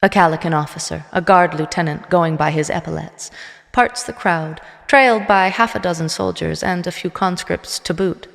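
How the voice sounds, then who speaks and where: Tense female soldier-narrator played by a woman, conflicted, dramatic audio drama storytelling, low voice in long reverb over music. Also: moderate pace, gravelly. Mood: tense, hushed.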